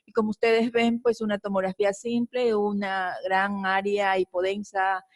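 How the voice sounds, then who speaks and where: A woman from Ecuador presents a pediatric surgery case to colleagues. She hesitates often and checks in with tag questions, her voice sitting at an ordinary pitch. The sound is dry and close. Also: little pitch movement, moderate pace, dry recording.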